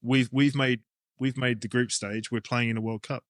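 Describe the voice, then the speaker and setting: A man's voice: medium pitch, little pitch movement, normal speed, no echo, clean studio sound. A man with an English accent talking casually as an interview guest, explaining his ideas informally as he thinks them through. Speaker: rugby coach and club director, interview guest.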